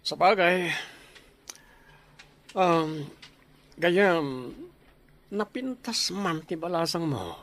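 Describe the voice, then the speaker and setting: A man playing a forward, flattering produce trader in a radio drama, with a Filipino accent. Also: fairly high pitch, very slow, pauses often, full character voice.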